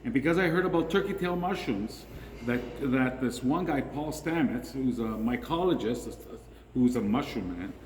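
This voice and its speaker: A man's voice: medium pitch, expressive, normal speed, darkish tone. A man chatting enthusiastically as a guest, telling long stories with many tangents. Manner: enthusiastic, tangent-prone storyteller. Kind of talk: casual chat. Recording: long hall reverb, faint noise.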